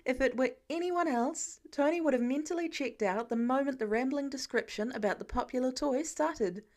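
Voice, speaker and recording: A woman's voice: sits high, moderate pace, a little mellow. A woman reading scripted fiction aloud as the narrator of an audio story. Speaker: fan fiction podfic narrator.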